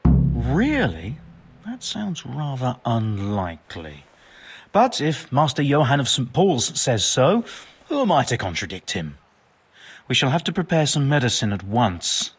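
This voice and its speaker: A bookish monk with swooping intonation. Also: male voice, mid-range voice, wildly swooping pitch, measured pace, breathy edge, heavily acted. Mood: bemused, earnest. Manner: bookish, wordy and formal. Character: learned monk physician, pompous, well-meaning and absent-minded. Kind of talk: video game cutscene acting.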